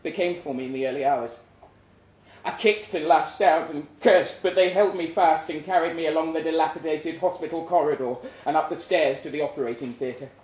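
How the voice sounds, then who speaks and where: A man reading literary fiction aloud in a dramatic first-person narration, with a grave, measured delivery. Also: fairly high pitch, a little mellow. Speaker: story reader. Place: indoor live reading event. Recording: echoey live room.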